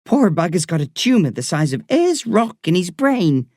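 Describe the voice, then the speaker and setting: A reader voicing a blunt but kind woman doctor with an Irish accent, earthy and warm in her talk. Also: medium pitch, sing-song, normal speed, dry recording, studio quality, voice pushed up. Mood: wry. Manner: salty, earthy talk said with warmth. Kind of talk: audiobook character reading.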